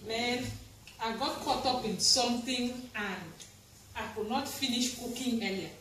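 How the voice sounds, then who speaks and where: A woman's voice: fairly high pitch, unhurried, a bit bright. A woman in her thirties with a Cameroonian accent, chatting casually and expressively to camera as a home vlogger. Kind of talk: casual chat to camera while doing housework. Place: tiled home kitchen, indoors. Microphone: camera or phone at arm's length and further. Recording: echoey tiled room with clear noise.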